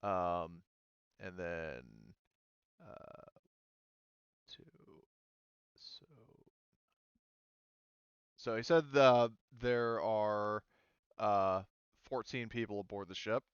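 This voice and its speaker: Male game master, lowish and clean-voiced, halting tabletop delivery, slightly dull dry recording. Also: clear, resonant tone. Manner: halting, thinking aloud. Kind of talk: running a tabletop roleplaying game. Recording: roomy, slightly muffled microphone.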